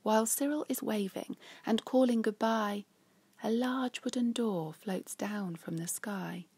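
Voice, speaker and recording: A woman reading a children's story in an expressive, measured and dramatic way. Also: a little high, breathy edge, no room sound.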